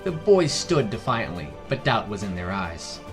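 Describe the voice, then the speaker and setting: A man's voice: lowish voice, very animated, moderate pace. A man reading scripted fantasy story narration as the narrator of a fiction podcast. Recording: music behind the voice. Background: background music.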